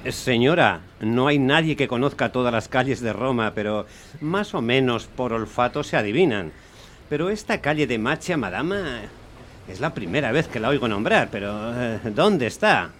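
Man acting an animated, hot-tempered cab driver. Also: medium pitch, normal speed, a little hiss or hum, lightly acted. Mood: exasperated, sarcastic. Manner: animated, exasperated, sardonic. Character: hot-tempered, grumbling male Roman taxi driver telling his story. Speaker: narrator and lead character. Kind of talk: dramatised first-person radio storytelling.

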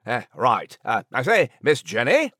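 A man's voice: medium pitch, wildly swooping pitch, unhurried, fairly bright tone, dry recording, clean studio sound, voice pushed down, heavily acted. A man voicing a proper, formal male animal character, hesitating politely. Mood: courteous.

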